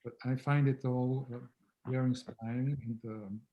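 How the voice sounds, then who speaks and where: A man whose first language is Portuguese, speaking softly and reflectively on a video call as a guest founder of a therapy method. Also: medium pitch, steady tone, moderate pace, dull audio. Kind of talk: casual conversation on a video call.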